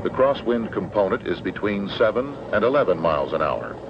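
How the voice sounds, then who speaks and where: An American man giving measured, matter-of-fact, formal instructional narration in the manner of a 1970s training film. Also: lowish voice, big pitch swings. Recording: dull, noisy old 16mm film soundtrack.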